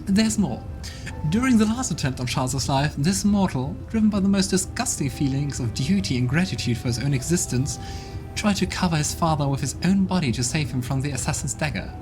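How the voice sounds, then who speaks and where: A cruel demon judge passing sentence with theatrical scorn, as a man lifts his voice to play it. The lines ring out loud and declamatory, with game music underneath. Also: a little high, animated, a little fast, close to an everyday voice. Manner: declamatory, contemptuous.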